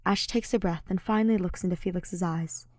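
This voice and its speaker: A woman's voice: lowish voice, steady tone, brisk, dry recording. Dry-sounding woman narrating fiction. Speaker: podfic narrator. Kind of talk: scripted fiction narration, audiobook reading.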